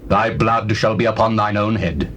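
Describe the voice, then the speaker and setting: Man acting a low-voiced, regal biblical king. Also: steady tone, fairly bright tone, close to an everyday voice. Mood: stern, accusing. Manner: declamatory, measured, formal. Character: King Solomon, stern, regal and devout young ruler. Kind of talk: dramatized scripture reading, classical stage acting. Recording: noisy old audio cassette.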